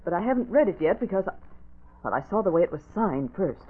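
An actress playing a poised, polite and inquisitive secretary in an old-time radio drama, expressive and brisk. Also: female voice, on the low side. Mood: curious, concerned. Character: poised, loyal, inquisitive female office secretary. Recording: very tinny, noisy old radio broadcast.

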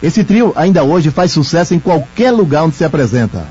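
A man with a mellow, soft-edged voice narrates memories as a radio veteran. The sound is a little dull, like radio, with music playing faintly underneath. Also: on the high side, big pitch swings, normal speed, breathy edge. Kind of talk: recollecting narration. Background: background music.

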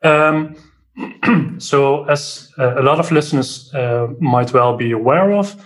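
A man from the southern Netherlands with a Dutch accent, talking casually as a podcast guest and software developer. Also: medium pitch, takes it slowly, poor audio. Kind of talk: casual explanatory interview talk.

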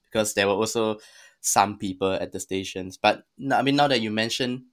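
A man's voice: lowish voice, moderate pace. A young man with a Singaporean accent chatting casually as a guest, hesitantly searching for his words. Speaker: podcast guest, musician. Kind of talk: casual conversational storytelling.

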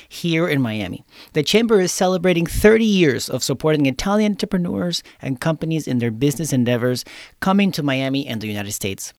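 Man, podcast host, slightly high voice, interview and intro delivery, close dry sound. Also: no echo.

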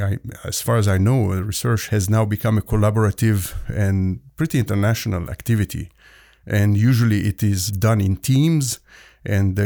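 A man in his forties, originally from Egypt, a professor speaking as a guest in a conversational interview, explaining at length with frequent pauses. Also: lowish voice, gravelly, warm tone, no echo, clean studio sound. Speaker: physics and chemistry professor, guest.

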